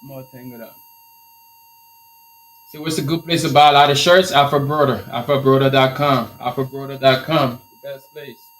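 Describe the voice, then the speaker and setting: A man from Liberia with a Liberian accent, hosting a casual live stream Q&A on his t-shirt business and repeating his points in an energetic, motivational way. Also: mid-range voice, normal speed.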